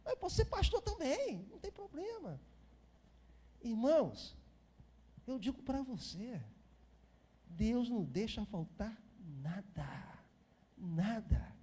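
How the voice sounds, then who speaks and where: A man's voice: very high voice, sing-song, takes it slowly, long pauses, mellow, slightly rough. A Brazilian man in his fifties preaching an informal sermon as a pastor, repeating phrases for emphasis and chatting to the congregation. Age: 50s.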